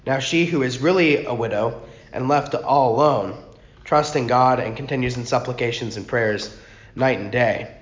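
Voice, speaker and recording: Hoarse man teaching softly. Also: medium pitch, normal speed. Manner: rambling, self-correcting, talks softly. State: hoarse, lost his voice. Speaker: pastor teaching a class. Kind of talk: informal church class teaching. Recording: muffled, echoey room with faint noise.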